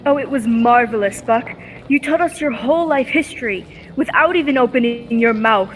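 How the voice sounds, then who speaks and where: A woman's voice: on the high side, moderate pace, close to an everyday voice. A young woman playing an eager, inquisitive young soldier heroine in an old-time radio serial. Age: young adult. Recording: echoey hall with background noise.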